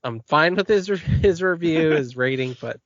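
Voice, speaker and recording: A man's voice: on the high side, animated, a little slow, no room sound, dull audio. A man co-hosting a podcast, chatting enthusiastically and joking with plenty of fillers. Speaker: podcast co-host. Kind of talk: casual chat.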